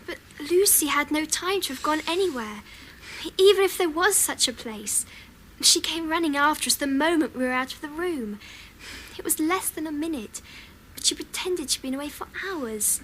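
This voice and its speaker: A woman's voice: high-pitched, expressive, normal speed, fairly bright tone, a little hiss or hum, rough recording. An actress playing a sensible, anxious English schoolgirl and elder sister in a radio drama. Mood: doubtful.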